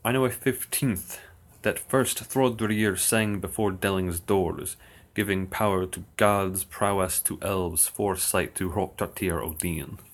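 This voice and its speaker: Very deep-voiced man reading old verse. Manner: slow, measured recitation with dry asides. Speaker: occult streamer. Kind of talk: reading verse aloud on a livestream.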